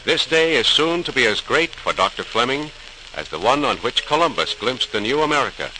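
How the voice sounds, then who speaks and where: Solemn radio-drama narrator, 1940s style, lowish and very melodic, noisy old transcription. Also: male voice. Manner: theatrical, with emphatic repetitions and suspenseful pauses. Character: solemn, suspenseful male storyteller of scientific discovery.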